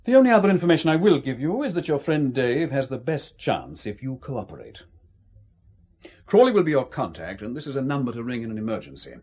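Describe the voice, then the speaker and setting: An actor playing a condescending British spymaster in an audio drama, with an emphatic, patronising delivery. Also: male voice, medium pitch, big pitch swings, brisk, darkish tone. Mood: condescending, menacing.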